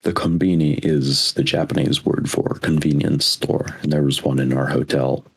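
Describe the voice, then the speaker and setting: Very deep, gravelly young man chatting. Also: fairly even intonation, normal speed, a little hiss or hum. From United States. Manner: rambling, reflective, with frequent restarts. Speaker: podcast co-host. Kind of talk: casual chat.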